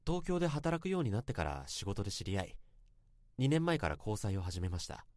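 Man in his thirties, earnest salaryman, low voice, very expressive, polite reflective radio drama monologue, music behind. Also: fairly quick, dry recording, studio quality. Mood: reminiscing. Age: 30s. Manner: polite, reflective, literary storytelling. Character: earnest 32-year-old salaryman confessing a bittersweet youthful love.

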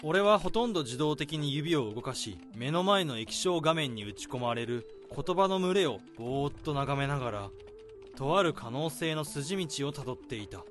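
A man's voice: fairly high pitch, animated, moderate pace, over music, close to an everyday voice. An actor playing a nervous, overworked office worker, switching between flustered dialogue and tense first-person inner monologue. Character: overworked nervous office drudge man hiding a secret. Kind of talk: radio drama acting with narration.

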